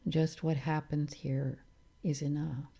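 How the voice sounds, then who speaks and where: A woman teaches meditation in a low, very mellow voice with a creaky edge. She guides calmly and soothingly, leaving long pauses between short phrases. Her delivery stays unhurried and relaxed.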